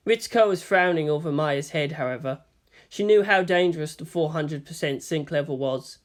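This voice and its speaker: A woman reading scripted fan fiction as a story narrator. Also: sits low.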